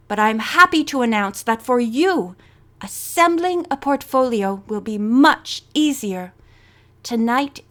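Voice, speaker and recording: A woman's voice: sits high, fairly bright tone. A woman voicing an elegant French former model in her thirties, giving a formal speech with a faint French accent. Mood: gracious. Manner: formal, poised speech-making.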